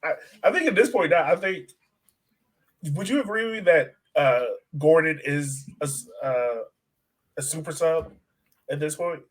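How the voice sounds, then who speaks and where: A man's voice: on the high side, measured pace. A man hosting a casual soccer podcast, talking hesitantly as he thinks aloud. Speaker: sports podcast host.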